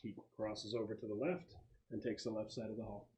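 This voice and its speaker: A man running a tabletop game as game master, describing scenes off the cuff and pausing as he searches for words. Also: lowish voice, flat delivery, warm tone. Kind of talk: improvised tabletop game narration.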